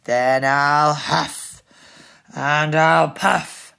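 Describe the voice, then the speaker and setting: A big bad wolf from a children's storybook, acted out by a woman, speaks in menacing, drawn-out threats. The pitch sits low and the pace is very slow, with a creaky growl at the edges. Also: steady tone, fairly bright tone, slight background noise, exaggerated voice. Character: big bad wolf, menacing male predator demanding entry.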